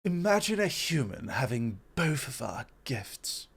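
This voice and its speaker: A man voicing a calm, reasoning male god in an ASMR roleplay, measured and explanatory with gentle amusement. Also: medium pitch, slightly rough, heavily acted. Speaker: guest voice actor.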